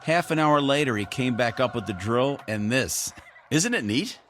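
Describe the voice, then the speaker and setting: An actor playing an easygoing, oblivious husband in a television drama, with a casual, chatty way of talking. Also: male voice, medium pitch, very animated, normal speed, a bit bright, a little hiss or hum. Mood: upbeat. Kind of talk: naturalistic television drama acting.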